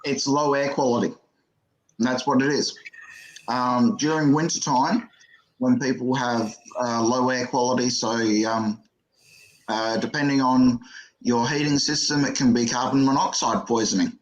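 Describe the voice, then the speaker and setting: Man, average pitch, calm, explains, joke lover. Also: normal speed, muffled recording, a little hiss or hum. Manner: explanatory, calm, fond of jokes. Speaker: podcast co-host. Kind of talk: casual chat.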